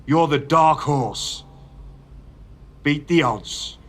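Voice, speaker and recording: A stern older wrestling manager. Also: male voice, a little high, a bit bright, full character voice. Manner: measured, formal, lecturing. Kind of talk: video game voice acting.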